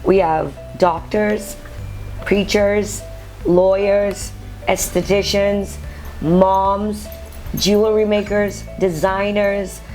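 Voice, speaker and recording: A woman hosting a casual interview podcast, speaking in a playful, teasing, expressive way. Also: medium pitch, extremely slow, soft background music, low-quality recording. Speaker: podcast host; preacher.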